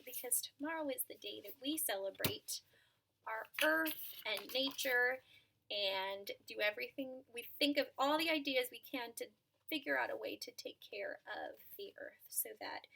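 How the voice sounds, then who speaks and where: A woman narrates a children's storybook in a high, bright voice. Her pacing is slow and her intonation swings widely, with dramatic asides to young listeners. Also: creaky.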